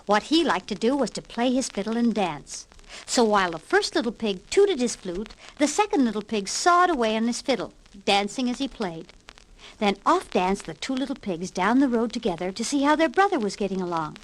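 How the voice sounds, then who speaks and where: Animated high-voiced woman narrating a storybook. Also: moderate pace, poor audio. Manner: expressive, emphatic storytelling. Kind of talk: scripted children's read-along narration. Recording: 1960s vinyl record with hiss and pops.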